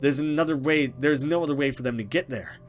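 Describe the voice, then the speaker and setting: A man voicing a frightened, mysterious woman escaped from captivity in a horror game, halting and dramatic. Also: mid-range voice, over music, close to an everyday voice. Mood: urgent, warning.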